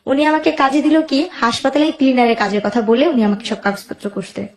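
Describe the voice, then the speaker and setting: A woman's voice: sits high, steady tone, fairly quick, roomy sound. A woman with a Bangladeshi accent tells her own life story as a guest, emotionally, quoting both sides of conversations as she goes.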